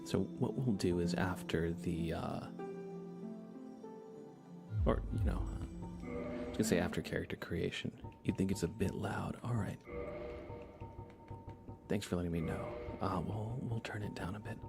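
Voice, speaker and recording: A man doing a soft-spoken ASMR live stream, chatting to viewers in a low-key way and now and then slipping into whispers. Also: a little slow, gravelly, long pauses. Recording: loud game music behind the voice. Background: video game music.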